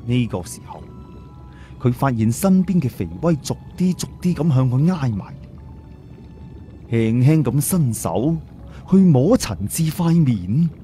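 Audiobook narrator: man, relaxed warm timbre, middle register, telling a scripted story. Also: mid-range voice, a little slow, pauses often, faint music behind.

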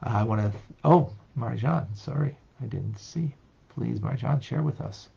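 Older man, rabbi, online class, gravelly, husky, reflective, restarts, dull webcam sound. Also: mid-range voice, unhurried, warm tone. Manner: reflective, searching, many fillers and restarts. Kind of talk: interactive online Torah class. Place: office with desk. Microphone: webcam on video call.

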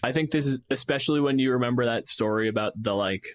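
A man's voice: medium pitch, fast talker. A man co-hosting a casual gaming podcast as a game master, explaining his reasoning with acted-out examples. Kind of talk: casual tabletop gaming discussion.

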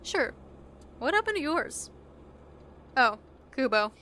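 A woman's voice: high voice, sing-song, takes it slowly, pushed and bright, a little hiss or hum, heavily acted. A woman playing a friendly, easygoing young woman in a casual audio drama.

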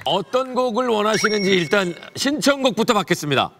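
A middle-aged man hosting a variety show in an excitable, exclamatory way, talking with a caller from a TV studio stage. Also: high voice, brisk, noisy background. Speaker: TV show host.

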